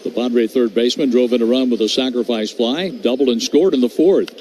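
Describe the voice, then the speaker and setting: A man's voice: mid-range voice, a little hiss or hum. Man calling baseball play-by-play. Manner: brisk, steady calls that lift on hits. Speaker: radio play-by-play announcer.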